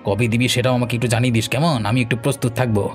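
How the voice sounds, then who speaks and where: A man's voice: mid-range voice, fairly even intonation, very fast, faint music behind, lightly acted. A man voicing an arrogant village bully in a cartoon, with a taunting, threatening manner. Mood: mocking, menacing. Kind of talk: Bengali cartoon voice acting.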